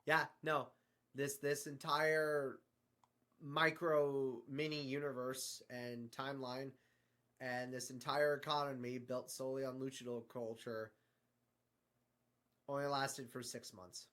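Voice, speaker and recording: A performer playing a hesitant, downcast explorer of alien universes, speaking haltingly in improvised dialogue and trailing off with stammers. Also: medium pitch, little pitch movement, a bit bright. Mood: explanatory. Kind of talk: improvised fictional dialogue between space explorers.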